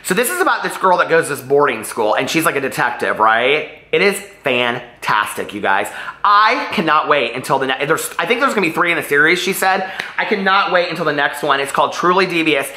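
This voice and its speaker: A man's voice: on the high side, big pitch swings, a little fast, pushed and bright, echoey room. A man chatting casually and animatedly as a book vlogger, rambling off on tangents.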